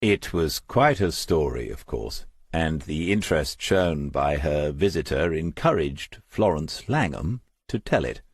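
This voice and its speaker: Deep, husky male audiobook narrator. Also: animated, measured pace, few pauses, no echo, clean studio sound. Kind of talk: scripted crime fiction narration.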